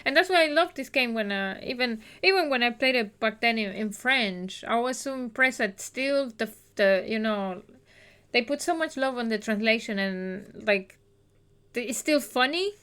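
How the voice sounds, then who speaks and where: A woman's voice: fairly high pitch, lively intonation, moderate pace, a bit bright, clear, resonant tone. A woman in her thirties streaming a game, chatting casually and rambling with many restarts.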